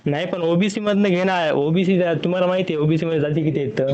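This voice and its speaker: A man's voice: on the high side, fast, a little mellow. A young man, a caller speaking respectfully but persistently on a muffled phone recording. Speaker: caller, student. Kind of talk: phone conversation.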